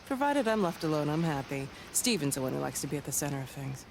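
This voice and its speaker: A woman's voice: low voice, big pitch swings, fast talker, creaky, background noise, exaggerated voice. An actress playing a wry, teasing, independent-minded woman in a video game drama. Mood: wry, curious.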